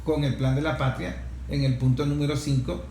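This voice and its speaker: A Venezuelan man hosting an interview with deliberate commentary, repeating phrases for emphasis. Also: medium pitch, steady tone, a little mellow. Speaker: interview host. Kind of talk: measured political commentary and interviewing. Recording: echoey room, faint background noise.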